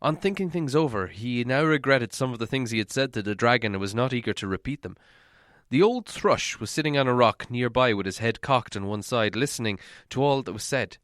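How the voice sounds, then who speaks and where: A man reading a story aloud as an audiobook narrator, in an expressive style. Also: medium pitch, dry recording, studio quality. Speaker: audiobook reader.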